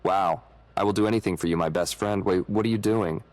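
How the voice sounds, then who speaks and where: An eager, friendly guest at a fantasy party voiced by a man speaks as a game character. His voice is fairly low. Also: brisk, natural voice.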